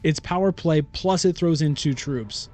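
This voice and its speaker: A man giving casual game commentary as a let's-play host, thinking aloud in a halting, deliberating way and often questioning himself. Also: a little high, darkish tone, a little hiss or hum. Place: solo recording of a digital board game playthrough.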